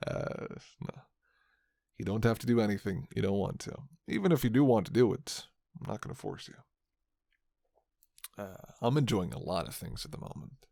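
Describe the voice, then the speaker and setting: A man's voice: lowish voice, gravelly, darkish tone, no echo, studio quality. A man talking casually to his ASMR listeners in a hesitant, musing, rambling way. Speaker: host of an ASMR roleplay audio channel.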